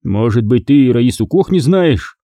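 Guy doing a menacing crime-story thug, sardonic and taunting, bossy, slightly raised lively voice. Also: a little high, moderate pace, no echo, studio quality. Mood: cold, domineering. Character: menacing male thug, mocking, cold-blooded interrogator.

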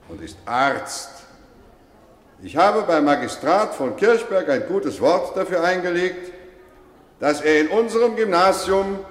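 An actor playing a kindly older male schoolteacher, giving a solemn, measured speech to his pupils in an old radio drama. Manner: solemn, measured speech with deep dips in pitch. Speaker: teacher addressing pupils. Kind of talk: 1950s radio drama acting. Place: large hall. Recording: roomy hall reverb, old radio play.

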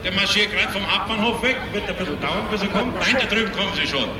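A man's voice: sits high, quick pace, distant mic, heavy background noise. A man announcing live at a street event, rambling and correcting himself as he goes. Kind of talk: live public address announcing, ad-libbed. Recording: echoey outdoor PA, loud crowd and music.

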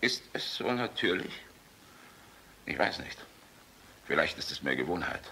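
A middle-aged actor playing a hard-drinking, cynical, charming air force general in a German radio play, gravelly and swaggering. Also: male voice, rumbling low, big pitch swings, unhurried, pushed and bright, slight background noise, exaggerated voice, poor audio. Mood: sardonic, musing. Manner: sardonic, flirtatious, swaggering. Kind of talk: German radio drama acting.

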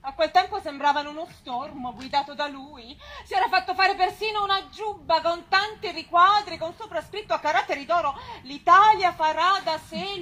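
A woman's voice: extremely high pitch, bright, forceful tone, a little hiss or hum, poor audio. A woman playing a sardonic tavern woman in theatrical dialogue. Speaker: stage actor.

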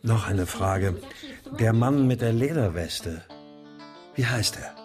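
Low-voiced, subdued father. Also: lively intonation, slow, a little mellow, soft background music. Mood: terse. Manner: terse, hesitant. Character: subdued, grieving father searching for his missing daughter. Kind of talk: radio drama acting.

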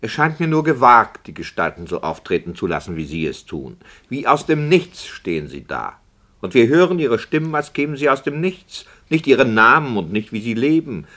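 A man's voice: medium pitch, big pitch swings, normal speed, a bit bright. A man playing a skeptical radio play director, formal, critical and precise, in scripted 1960s radio dialogue.

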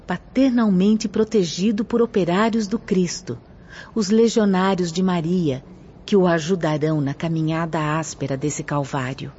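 Woman playing a grave spirit teacher in a radio play, dark mellow voice, solemn and lecturing, kinda muffled. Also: fairly low pitch, slight background noise, close to an everyday voice. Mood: grave, instructive. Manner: solemn, didactic, measured emphasis. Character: grave, instructive female spirit teacher of moral law. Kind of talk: radio drama acting, didactic declamation. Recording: muffled lo-fi audio with music behind. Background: background music.

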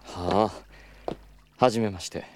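A man playing a suave, wealthy young gentleman in an audio drama, speaking in a knowing, teasing and patronizing way. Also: on the low side, steady tone, slow, background noise. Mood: composed, courtly.

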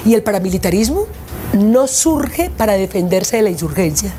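Casting: female interviewee, analytical and emphatic, soft mellow tone, lively intonation, faint ambient noise. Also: mid-range voice, moderate pace, faint music behind.

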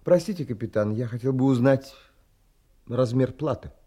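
An actor playing an earnest, determined young gentleman in a dramatised audiobook, with expressive, literary delivery. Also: medium pitch, moderate pace, breathy edge, lightly acted. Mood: polite, formal. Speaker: actor narrating and playing the lead.

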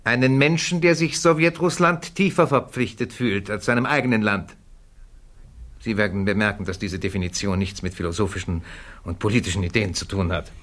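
An actor playing a principled, outspoken male witness in a radio play, speaking firmly, deliberately and formally. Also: on the low side, steady tone, a bit bright, lightly acted. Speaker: witness at a hearing. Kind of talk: German radio play acting.

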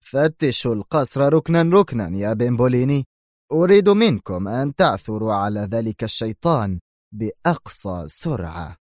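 A man voicing a gruff, cold older mafia boss in an audiobook, speaking slowly and heavily. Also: mid-range voice, sing-song, a little mellow, no echo, studio quality, lightly acted. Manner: slow, heavy, menacing. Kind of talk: audiobook character reading.

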